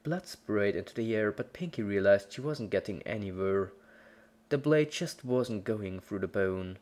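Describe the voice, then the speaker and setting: A woman with a very deep voice for her sex narrates a horror story. She reads from a script in a dramatic storytelling style. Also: lively intonation, unhurried, darkish tone.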